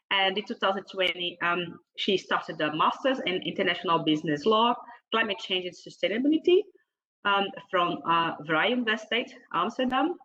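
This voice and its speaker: A woman moderator with a bright, forceful voice introduces a panelist over an online call. Her delivery is formal and halting, as if working through notes, with frequent hesitations. The sound is somewhat dull and lo-fi. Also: medium pitch. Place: online video meeting.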